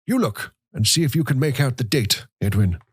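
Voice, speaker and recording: A man voicing a frail, querulous old grandfather in a thin, unsteady falsetto for an audiobook. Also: lively intonation, moderate pace, breathy edge, no echo, clean studio sound. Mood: fretful. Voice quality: thin, cracked, undependable falsetto. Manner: muttering, cackling, nostalgic. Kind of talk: audiobook character voice.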